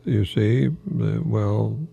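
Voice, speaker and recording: Slow, creaky older American man. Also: on the low side, warm tone, dry recording, lo-fi sound. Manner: chuckles often at his own remarks, halting and rambling. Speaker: radio host, longtime English professor. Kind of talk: folksy solo radio talk.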